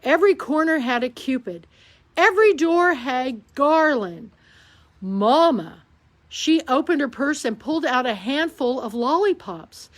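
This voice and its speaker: A woman reading a children's picture book aloud at storytime, slowly and with lots of expression. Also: high-pitched. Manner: slow, expressive read-aloud delivery. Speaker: storytime reader.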